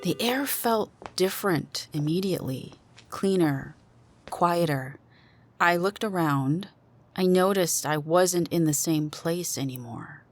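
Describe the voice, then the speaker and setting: A woman's voice: fairly low pitch, takes it slowly. A woman narrating a suspense story in the first person, as a dramatic reading.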